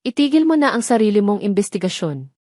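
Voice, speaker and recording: A woman narrator plays an older police general in an audio drama. He speaks gravely and with command, a father figure with a stern, cold edge. The sound is dry and close. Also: medium pitch, sing-song, quick pace, warm tone, dry recording. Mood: grave warning.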